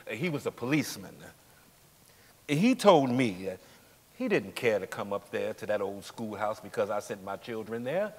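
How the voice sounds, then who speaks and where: An actor playing a steadfast working man of forty-nine on the witness stand, deliberate, hesitant and formally polite, in a dramatic stage reading. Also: lowish voice, lightly acted. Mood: aggrieved, recounting. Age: middle-aged. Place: large indoor theatre stage. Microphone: lapel mic. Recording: echoey theatre stage, long reverb.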